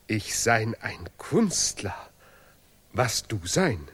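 An actor playing a grandiose, self-praising artist who recites ceremoniously in deliberately broken German, in a stylised radio play. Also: male voice, on the low side, big pitch swings, a bit bright, husky, heavily acted. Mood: boastful. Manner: pompous, ceremonious, declamatory.

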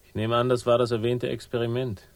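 A man's voice: medium pitch, little pitch movement, fast, natural voice. An actor playing a hard-boiled investigator in a radio crime drama, clipped, dry and sardonic as he narrates and talks. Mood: terse. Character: terse, hard-boiled male investigator telling his own story. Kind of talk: first-person noir narration and radio drama acting.

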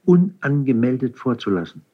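An actor playing a deferential, insinuating count at court in a classical verse radio drama, formal and measured, on a dull recording. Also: male voice, medium pitch, very animated, slightly rough. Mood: pointed, deferential.

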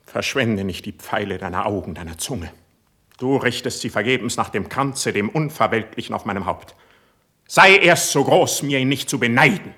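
A man's voice: on the low side, expressive, normal speed, sharp tone, husky, heavily acted. An actor playing an ardent, proud and sensitive young male court poet in a classical verse radio play, speaking in a fervent, lofty way. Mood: wounded, solemn, then indignant, impassioned. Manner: fervent, lofty, declamatory delivery.

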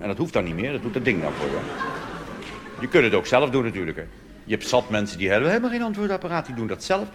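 A man's voice: medium pitch, slight background noise. A Dutch man performing a chatty, wry cabaret monologue full of asides, recorded live on stage. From Netherlands. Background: audience laughter and applause.

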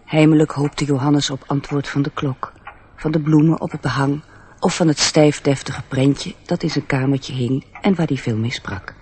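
A man's voice: a little high, steady tone, moderate pace, darkish tone, a little hiss or hum, close to an everyday voice. A man playing an earnest storyteller who narrates a radio play, confiding directly in the listener. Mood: gentle. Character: intimate, gently earnest male storyteller.

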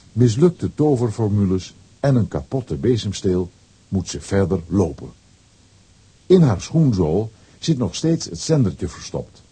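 A man narrating a children's radio play in a scripted storytelling style, with a dark, husky voice. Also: fairly low pitch, full character voice.

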